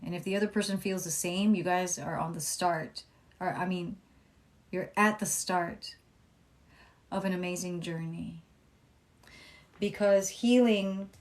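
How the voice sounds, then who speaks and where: Young woman, calm soothing voice, casual live tarot reading, swears freely, chatty. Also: mid-range voice. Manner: chatty, swears freely, reads comments aloud. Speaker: tarot reader live-streaming.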